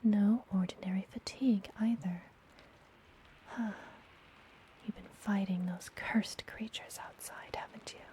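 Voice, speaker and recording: A woman playing a friendly, mysterious witch and healer in an ASMR fantasy roleplay, whispering softly and gently with a teasing manner. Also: medium pitch, steady tone, lightly acted. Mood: soothing, teasing. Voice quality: soft, breathy whisper. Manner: gentle, slow, playfully teasing.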